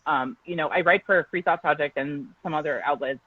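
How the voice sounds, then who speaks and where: A woman's voice: low-pitched, brisk, no room sound, lo-fi sound. A woman journalist guest on an online show, outspoken and emphatic.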